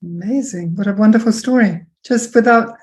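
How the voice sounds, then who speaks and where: A woman's voice: mid-range voice, moderate pace, a little mellow. Polite woman hosting an interview. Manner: polite, affirming. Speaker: interviewer, host.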